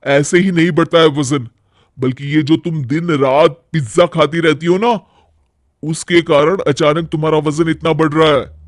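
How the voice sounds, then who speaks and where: A man playing a caring but exasperated young husband in a cartoon story, expressive and reproachful. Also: on the high side, moderate pace, dry recording, clean studio sound, close to an everyday voice. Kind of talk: animated Hindi moral-story cartoon acting.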